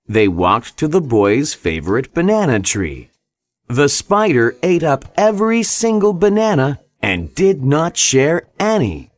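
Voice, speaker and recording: Slow, very lively male storybook narrator. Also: a little high, no room sound. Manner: careful, expressive storytelling. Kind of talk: scripted children's read-along narration.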